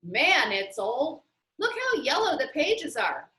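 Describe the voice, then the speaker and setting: A woman reading the part of an eager, excitable, slangy boy in a children's storybook. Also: high-pitched, slow, full character voice. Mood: excited. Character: eager, enthusiastic boy on a game team. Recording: roomy, echoey sound.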